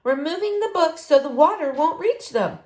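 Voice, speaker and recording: A woman voicing a brisk, encouraging librarian in a children's storybook, firm and reassuring. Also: very high-pitched, animated, darkish tone, pitched above the performer's own voice. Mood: brisk, urgent. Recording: roomy, echoing room sound.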